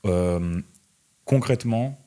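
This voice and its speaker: A young man in his twenties with a very low voice, talking as an interview guest. He is from Russia and explains himself informally, with slang and conversational tags. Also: slow, warm tone, clear, resonant tone. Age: 20s. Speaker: interview guest, entrepreneur and online content creator. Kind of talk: casual conversational interview answers.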